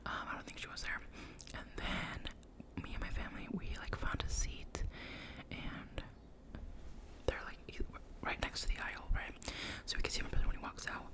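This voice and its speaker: A woman's voice: moderate pace, pauses often. A young woman whispering a rambling personal story, full of "like" and self-corrections, in the style of an ASMR storytime. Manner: soft whisper throughout. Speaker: vlogger.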